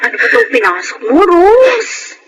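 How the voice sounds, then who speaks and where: Very shrill-pitched scolding Filipino woman. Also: wildly swooping pitch, normal speed, highs cut off, full character voice. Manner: scolding, exclamatory. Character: alarmed, scolding female confidante of a pregnant woman. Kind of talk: Tagalog audio drama acting. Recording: loud background noise.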